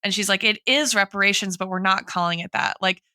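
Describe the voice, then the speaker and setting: A woman's voice: medium pitch, steady tone, a little fast, sharp tone, dry recording, clean studio sound. A woman co-hosting a podcast in an analytical, intellectual conversational style, often tagging her points with a checking "right?". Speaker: podcast co-host and academic in political theory.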